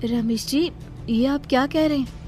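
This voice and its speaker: A vengeful ghost bride from a cartoon horror tale, acted out by a woman. She speaks in a raised, menacing voice with drawn-out words. Music plays behind her. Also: high voice, a little mellow, pitched above the performer's own voice. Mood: feigned innocence. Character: vengeful female ghost Gauri possessing a young wife, menacing. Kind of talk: cartoon story character voice.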